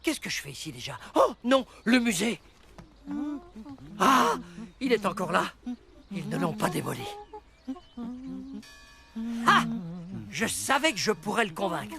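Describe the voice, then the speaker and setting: A man voicing a muddled, excitable cartoon man who mutters and exclaims to himself. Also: sits high, unhurried, creaky, long pauses, exaggerated voice. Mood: muddled, startled. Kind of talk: dubbed cartoon acting.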